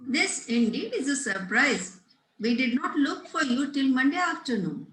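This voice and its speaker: A woman's voice: high-pitched, sing-song, normal speed, fairly bright tone, a little hiss or hum. A woman reading the part of a prim, moralizing middle-aged governess with emphatic, preachy delivery, in a roomy-sounding space. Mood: stern. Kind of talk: amateur table read of a stage comedy. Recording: roomy echo.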